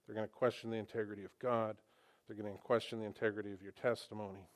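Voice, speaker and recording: A man preaching a sermon to a congregation on a microphone, animated and conversational. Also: fairly low pitch, fast. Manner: animated, conversational, with emphatic asides.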